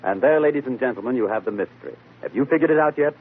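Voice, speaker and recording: A man acting a keen, thoughtful amateur detective in a 1940s radio mystery, speaking in a measured, deliberate way. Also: mid-range voice, lively intonation, breathy edge, soft background music. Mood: genial. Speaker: detective lead who also hosts the show. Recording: tinny, noisy old radio broadcast.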